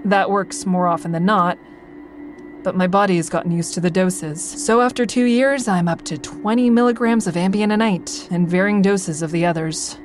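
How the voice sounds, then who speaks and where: A woman's voice: mid-range voice, close to an everyday voice. A woman playing a sardonic, anxious insomniac, confiding dryly as she narrates a first-person audio drama. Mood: wry, weary. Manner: wry, confiding, dry.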